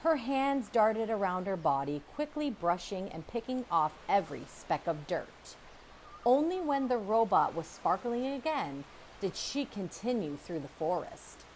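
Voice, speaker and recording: Female storybook reader, lively pitch swings, deliberate dramatic pacing, faint hiss behind the voice. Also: rough recording. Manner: expressive, measured storytelling with dramatic pauses. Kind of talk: children's audiobook read-aloud, scripted narration.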